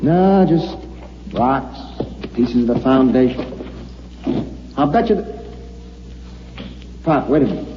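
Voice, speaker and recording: An actor playing an excitable, reluctant male newspaper reporter with slangy, ungrammatical speech in an old radio drama. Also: medium pitch, takes it slowly, long pauses. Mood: puzzled. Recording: muffled, noisy old-time radio recording.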